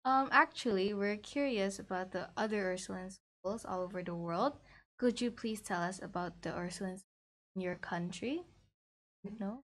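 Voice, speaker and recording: A woman's voice: medium pitch, normal speed. A woman hosting a school podcast, asking her guests questions in a friendly way. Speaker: podcast host.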